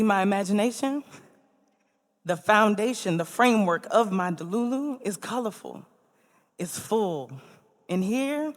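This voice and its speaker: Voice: mid-range voice, natural voice. A performer playing a troubled, fearful artist baring a wounded inner self in an emotive theatrical spoken-word monologue. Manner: emotive, dramatic, swelling and dropping. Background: music.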